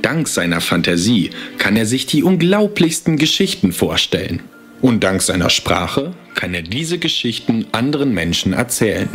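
A man reading scripted promotional trailer narration in a measured, explanatory way. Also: on the low side, very animated, fairly bright tone. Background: music.

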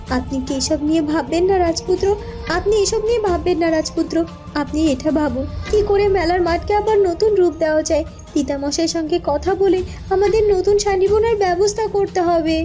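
A woman voicing a calm, cunning young princess who sounds polite and dutiful, in a cartoon. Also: very high voice. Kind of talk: cartoon voice acting.

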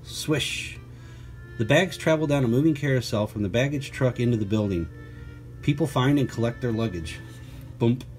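Male teacher for picture book read-aloud, mid-pitched, breaking off for conversational asides and questions to kids. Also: lively intonation, moderate pace, a little mellow, faint music behind. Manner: prompts listeners with questions and asides. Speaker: teacher reading aloud to children.

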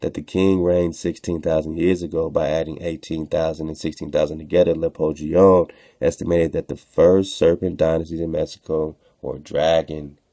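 A man's voice: rumbling low, little pitch movement, moderate pace, few pauses, warm tone, dry recording. A man hosting a radio show, reading texts aloud with informal commentary, in a rhetorical, preacher-like way, repeating phrases for emphasis.

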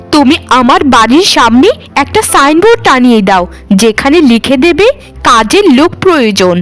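A woman voicing a stern rich widow, high and scolding. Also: fairly bright tone. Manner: scolding and commanding. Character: stern wealthy widow mistress of a house. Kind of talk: cartoon voice acting.

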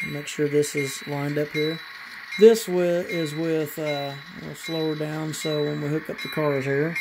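A man casually narrating as he runs his model trains at home, pausing often with fillers and restarts. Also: a little high, noisy background. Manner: halting, many fillers and restarts, relaxed. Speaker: model railroad hobbyist channel host. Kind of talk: casual running commentary while operating trains. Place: apartment room with model train layout.

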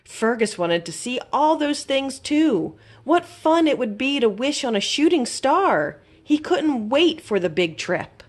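Animated woman reading a children's story. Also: high-pitched, unhurried, few pauses. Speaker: library storytime reader. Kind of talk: expressive children's read-aloud.

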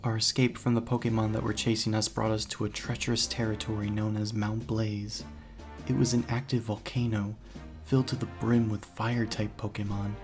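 A man's voice: fairly low pitch, level tone, a little fast, darkish tone. A man reading scripted first-person story narration for a video game playthrough, as the hero. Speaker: narrator and let's player, speaking in character as hero. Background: background music.

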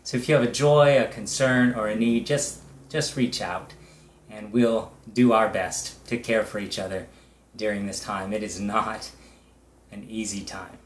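A middle-aged man, a pastor, leading a prayer in a measured, reverent way, in short phrases with long pauses. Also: on the low side, fairly even intonation, slight background noise. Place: indoors, small room with bare wall. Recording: echoey room.

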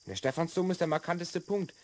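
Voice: mid-range voice, brisk, close to an everyday voice. A performer playing an assured, explanatory twelve-year-old boy from the future in a children's radio play.

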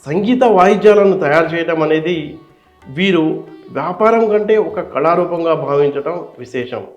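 Higher-pitched man narrating, slightly roomy sound. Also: unhurried. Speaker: narrator and interviewer. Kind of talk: scripted documentary narration. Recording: slightly dull, roomy sound with faint music.

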